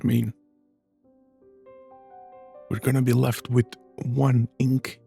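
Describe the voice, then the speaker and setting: A woman's voice: rumbling low, level tone, slow, vocal fry, mellow, slightly rough, faint music behind. A woman giving casual, understated gameplay commentary as she streams, in short murmured reactions. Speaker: streamer playing a game.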